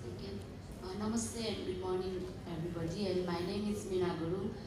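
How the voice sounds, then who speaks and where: A woman's voice: on the low side, steady tone, a little fast. A woman from Nepal, a community radio station manager, speaking on a conference panel, earnest with restarts. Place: large conference hall. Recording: echoey hall from far off, noisy.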